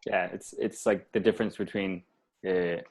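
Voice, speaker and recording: A man hosting a casual interview over a video call, relaxed and conversational with some hesitant restarts. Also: deep voice, normal speed. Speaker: interview host.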